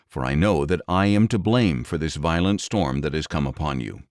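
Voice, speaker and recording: A man's voice: extremely low pitch, lively intonation, moderate pace, a little mellow, no echo, studio quality, pitched below the performer's own voice. A man voicing a grave, resigned, devout prophet, solemn and earnest, in a scripture audiobook. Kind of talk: dramatic audiobook scripture reading.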